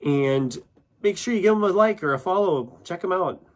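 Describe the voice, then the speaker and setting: A man's voice: sits high, animated, normal speed. A man from Iowa, chatting informally as a vlog host with self-deprecating asides. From United States, Iowa. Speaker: YouTube book channel host. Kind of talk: casual chatty vlog, answering questions.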